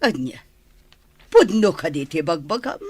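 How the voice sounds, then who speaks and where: A woman's voice: deep voice, very animated, a little slow, darkish tone, husky, dry recording, full character voice. An actress playing a suspicious, opinionated older mother-in-law in a radio drama, with a skeptical, judgmental manner. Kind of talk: Ilocano radio drama acting.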